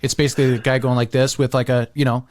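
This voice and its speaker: A man's voice: mid-range voice, level tone, fast talker, darkish tone, no echo, clean studio sound. A middle-aged man chatting casually on a podcast in a rambling, blunt way, with some swearing. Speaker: podcast host. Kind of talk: casual chat.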